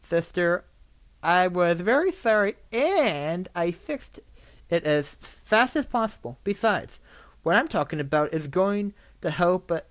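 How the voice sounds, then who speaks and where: Eager, excitable pony princess by an amateur reader. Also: mid-range voice, measured pace, no room sound, close to an everyday voice. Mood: earnest, reassuring. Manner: pleading, enthusiastic. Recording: dull lo-fi microphone recording.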